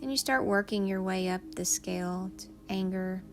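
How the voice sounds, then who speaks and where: A woman leading a guided meditation in a soothing, unhurried manner, leaving long pauses between phrases. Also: medium pitch, soft background music.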